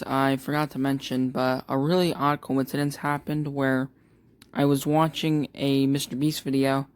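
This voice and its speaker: A grieving man talking to camera in a vlog, in a monotone, halting with many fillers and restarts. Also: mid-range voice. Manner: monotone, uninteresting voice. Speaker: vlogger. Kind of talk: casual talk to camera.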